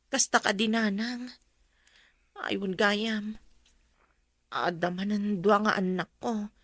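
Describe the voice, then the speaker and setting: A woman's voice: medium pitch, fairly even intonation, takes it slowly, fairly bright tone, dry recording. A woman with an Ilocano Filipino accent acting a weary, regretful mother in a radio drama, pleading and emotional. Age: adult. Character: Cora, weary regretful mother who left her children.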